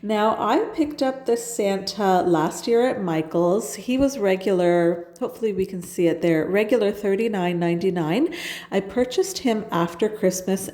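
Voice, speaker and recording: A woman's voice: lowish voice, warm tone, echoey room. A woman with a stuffed-up nose from a cold, chatting enthusiastically as she narrates her home decorating. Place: home kitchen.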